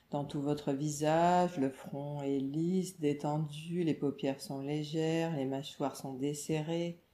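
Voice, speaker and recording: A woman meditation teacher leading a guided relaxation in a calm, soothing, instructional way, with short phrases and pauses. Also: low-pitched. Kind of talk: guided yoga nidra relaxation narration. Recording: roomy, echoing voice recording.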